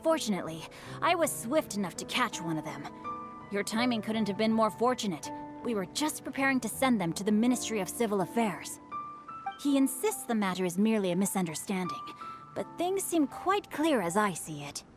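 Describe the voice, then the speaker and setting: A woman's voice: medium pitch, sing-song, fairly quick, over music, lightly acted. A woman voicing a courteous, self-assured, bookish young nobleman in a video game, speaking formally and eloquently with a theatrical touch. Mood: confident.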